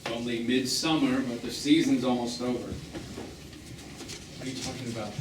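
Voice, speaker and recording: A man's voice: mid-range voice, fairly even intonation, a little slow, natural voice. Male, actor as melancholy lover in a one-act stage drama. Medium register, lyrical, wounded; recorded far off amid noise. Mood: wistful. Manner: lyrical, reflective, pointed. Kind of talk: naturalistic stage drama dialogue. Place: theatre stage, recorded from the audience distance. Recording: echoey, distant, noisy live theatre recording.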